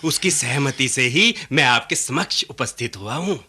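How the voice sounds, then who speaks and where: A man's voice: mid-range voice, lively intonation, measured pace, pushed and bright, breathy edge, heavily acted. An actor playing a sly, scheming man in a radio play, oily, chuckling and blustering, fawning on his betters. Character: sly, scheming, fawning male matchmaker brother. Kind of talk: Hindi radio play acting.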